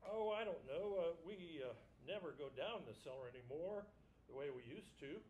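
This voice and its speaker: A man plays a middle-aged lawyer in a staged comic story, an awkward husband with a rather high voice. He speaks haltingly and coaxes clumsily. There is faint noise behind him. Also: lively intonation, a little mellow, natural voice, rough recording. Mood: awkward coaxing. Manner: hesitant, halting, stilted. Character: plump middle-aged male lawyer, awkward, wheedling, married.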